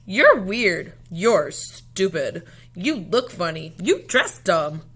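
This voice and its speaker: A woman's voice: on the low side, sing-song, slow, a bit bright, slightly rough, full character voice. A woman voicing an indignant young schoolgirl in a storybook, blunt and emphatic as she squabbles. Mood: taunting. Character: indignant young schoolgirl quarrelling with her best friend.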